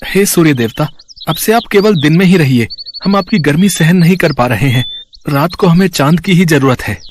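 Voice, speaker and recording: A man voicing a bossy, complaining village farmer in an animated moral story. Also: a little high, normal speed, a little hiss or hum, natural voice. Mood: pleading.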